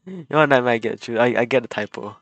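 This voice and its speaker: A man's voice: medium pitch, quick pace, creaky, fairly bright tone, no room sound, highs cut off. A young man chatting casually and laughing often while streaming a game. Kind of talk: casual live game commentary.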